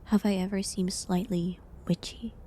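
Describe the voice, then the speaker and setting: Girl voice acting sad falsely accused herbalist lady, hushed n halting, really mellow, slow, bit of background noise, audio roleplay. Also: mid-range voice, fairly even intonation, lightly acted. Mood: sorrowful. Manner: hushed, breathy, halting delivery. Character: gentle condemned village herbalist woman, falsely accused, sorrowful. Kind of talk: dramatic audio roleplay monologue.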